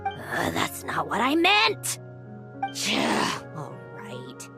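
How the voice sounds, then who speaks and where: A woman's voice: low voice, wildly swooping pitch, extremely slow, gravelly, over music, exaggerated voice. Prickly young woman, wildly swooping intonation. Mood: flustered. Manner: tart, exasperated, sardonic. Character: prickly, easily flustered, proud young woman. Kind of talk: animated game voice acting.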